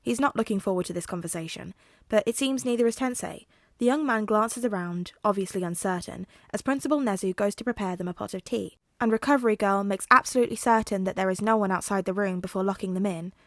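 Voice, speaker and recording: A woman's voice: mid-range voice, fast talker, dry recording, studio quality. A woman reading a scripted story aloud as its narrator. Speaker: podfic narrator.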